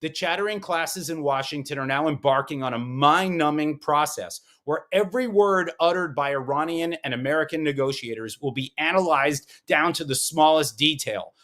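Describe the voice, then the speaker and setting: A man's voice: a little high, moderate pace, hardly any pauses, pushed and bright. Wry man reading a news brief. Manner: wry, punchy delivery with sarcastic asides. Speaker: podcast host. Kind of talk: scripted news briefing with commentary.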